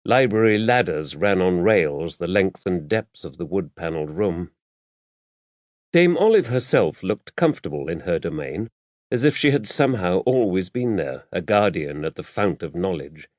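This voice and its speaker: A man's voice: low voice, big pitch swings, normal speed, a little mellow, dry recording, lo-fi sound. A man reading a mystery novel aloud as scripted audiobook narration.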